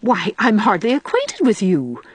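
A woman's voice: mid-range voice, wildly swooping pitch, brisk. A woman voicing a polite, dignified young female schoolteacher with proper, prim speech in an audiobook. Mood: indignant, haughty. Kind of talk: audiobook character voice.

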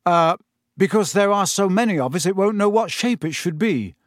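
A man's voice: high voice, animated, normal speed, no echo, studio quality, pitched above the performer's own voice. A man voicing a teenage schoolboy who answers tentatively.

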